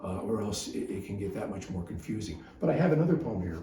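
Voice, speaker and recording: A man's voice: on the low side, very animated, quick pace, gravelly, warm tone. A man giving a reflective spiritual talk, explaining ideas in a thoughtful way and reading poems aloud. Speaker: psychotherapist reading his own poems and teaching. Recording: roomy, distant mic, faint noise.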